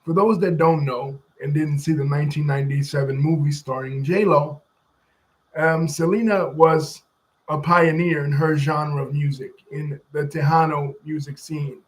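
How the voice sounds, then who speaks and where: Man, commentary host, slightly high-pitched, casual, explanatory, step by step, chats with people off camera. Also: steady tone, unhurried, poor audio. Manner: conversational, explains history step by step.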